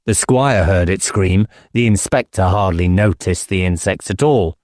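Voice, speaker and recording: A man narrating a literary audiobook with measured, dramatic storytelling. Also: deep voice, darkish tone, no echo, clean studio sound.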